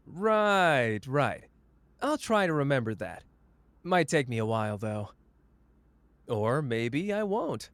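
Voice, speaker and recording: A man's voice: mid-range voice, sing-song, slow pace, pauses often, a bit bright, clean tone, no room sound, heavily acted. A man playing a cocky, flamboyant young sorcerer who teases the listener in a playful, smug roleplay audio drama. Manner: playful, smug, drawling teasing.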